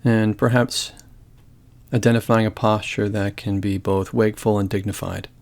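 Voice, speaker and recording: A man leading a guided body scan meditation in a calm, gentle, measured way, leaving long pauses. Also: on the low side, creaky.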